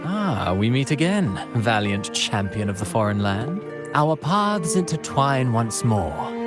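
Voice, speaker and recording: A theatrical gentleman playwright from a video game. He speaks in a medium register with flowery, courtly flourishes and dramatic pauses. Clear music plays behind him. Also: medium pitch, sing-song. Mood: grand, courtly. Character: courtly, theatrical male playwright, self-styled humble.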